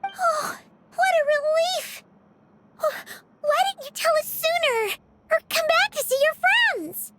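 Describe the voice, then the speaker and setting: A woman voicing a tiny, sweet, earnest childlike creature with a small squeaky voice in a video game, emotional and admiring. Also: animated, takes it slowly, heavy background noise, full character voice. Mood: tearful relief. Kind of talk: cute video game character acting.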